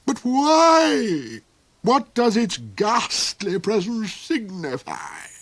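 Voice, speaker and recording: A man's voice: high-pitched, huge pitch swings, drawn-out words, voice pushed up, full character voice. A man voicing a cruel, cold, ranting old duke, shrieking, snarling and cackling in an audiobook reading. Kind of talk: audiobook character voice.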